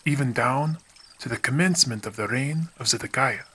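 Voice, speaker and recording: A man narrating scripture from a script in a solemn, measured, deliberate manner. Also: mid-range voice, sharp tone, breathy edge.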